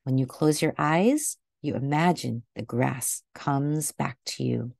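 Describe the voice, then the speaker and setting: A woman reading a picture book aloud to children in a gentle, deliberate way, recorded indoors over a video call. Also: a bass voice, creaky, no room sound, clean studio sound. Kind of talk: read-aloud storytelling for children.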